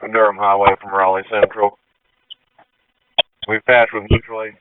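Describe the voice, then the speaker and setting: Monotone low male dispatcher, tinny radio. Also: normal speed, smooth, no echo. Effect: two-way radio. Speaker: emergency dispatcher. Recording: phone-like, noisy two-way radio with clicks.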